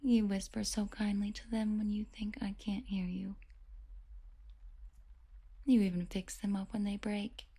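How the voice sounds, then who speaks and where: Villainess lover , played by a woman, for romance audio: confident, protective, teasing, intimate, unhurried and husky. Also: mid-range voice, little pitch movement, mellow. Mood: tender, fond. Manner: intimate, teasing, sweet-talking with breathy whispers. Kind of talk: scripted romantic audio roleplay.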